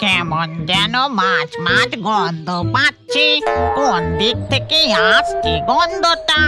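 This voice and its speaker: A woman's voice: medium pitch, takes it slowly, close to an everyday voice. A woman voicing a lazy, greedy female witch ghost in a cartoon, coaxing and bossy in manner. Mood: nosy. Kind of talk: cartoon character voice acting. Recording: loud music behind the voice.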